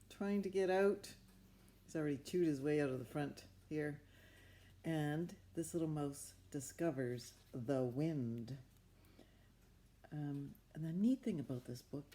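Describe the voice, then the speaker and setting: A woman's voice: low voice, warm tone. A woman improvising a story from a picture book, talking expressively and with wonder, as if to young children. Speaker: storybook narrator.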